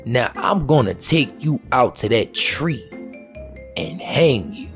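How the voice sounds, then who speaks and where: Man voicing a stern, slow-talking old plantation master. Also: medium pitch, very animated. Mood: furious, threatening. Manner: stern, threatening, folksy. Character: angry, vengeful old male plantation master. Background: music.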